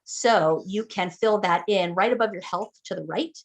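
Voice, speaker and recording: A woman guiding a new player through building a character. She explains each rule patiently, stops often to check they are following, and her pitch sits in a middle range. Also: moderate pace. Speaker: game master guiding character creation. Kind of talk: explaining game rules.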